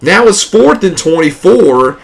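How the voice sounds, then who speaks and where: A stuffy, congested man giving excitable play-by-play commentary over a video game, rising on big plays, then recapping the week as a gaming YouTuber. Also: sits high, a little slow, creaky, breathy edge, low-quality recording.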